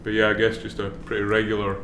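Laid-back Scottish man, low voice. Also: monotone, fast. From Scotland. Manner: laid-back, dry humor, hesitant fillers. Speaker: brewery co-founder guest. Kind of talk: casual podcast interview. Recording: roomy with noise behind.

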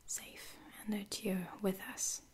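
A breathy, whispering female lieutenant, played by a woman in a space ASMR roleplay. She is caring and reassuring, speaking haltingly and softly with very little rise or fall in pitch. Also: level tone, natural voice. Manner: hesitant, halting, soft-spoken.

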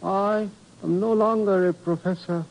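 An actor playing a humble, wary former professor turned village shoemaker in a 1950s radio drama, hesitant, deferential and formal. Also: male voice, high-pitched, fairly even intonation, measured pace, creaky, a little mellow. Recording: dull, muffled vintage radio recording.

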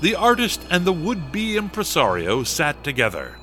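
Formal narrator, composed, literary, scripted horror radio drama, strong musical backing. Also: male voice, fairly high pitch, wildly swooping pitch, moderate pace, pushed and bright, soft background music, full character voice. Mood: measured. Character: composed male storyteller narrating a horror tale.

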